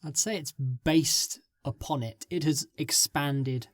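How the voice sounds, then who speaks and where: A man, a script writer guest on a podcast, giving halting, fragmented answers. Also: a little high, dry recording, clean studio sound.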